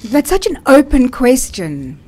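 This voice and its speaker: A woman's voice: sits high, huge pitch swings, unhurried, a little mellow. A middle-aged woman with a South African accent, speaking as an interview guest and telling her own story conversationally.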